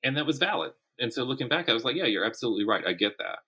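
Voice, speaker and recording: A man speaking as a writer guest in a conversational interview, telling things in an animated way and voicing imagined quotes. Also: mid-range voice, racing, slightly rough.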